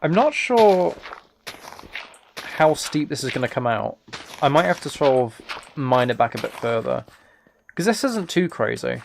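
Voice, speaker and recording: A man casually chatting on a live game stream, thinking aloud in a relaxed way, close to the microphone. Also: medium pitch, a little slow, vocal fry, long pauses, slight background noise. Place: indoors at a computer.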